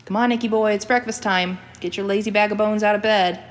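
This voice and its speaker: A woman's voice: low voice, fairly even intonation, moderate pace, a little mellow. Woman doing a frazzled thirtysomething woman. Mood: calling loudly.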